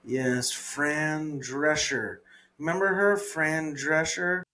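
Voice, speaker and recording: A man's voice: fairly high pitch, steady tone, takes it slowly, sharp tone. A man with a Southern accent co-hosting a podcast, chatting casually, laughing often and trailing off while he searches for words. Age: adult. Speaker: podcast co-host. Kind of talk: casual chat.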